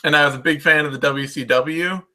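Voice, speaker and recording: A man's voice: a little high, brisk, pushed and bright. A man hosting a casual sports chat in a rambling, self-deprecating way. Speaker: host.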